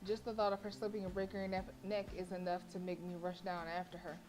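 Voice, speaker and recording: Female game streamer, slightly low register, expressive read-aloud with playful casual commentary. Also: quick pace. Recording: faint game music behind. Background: game music.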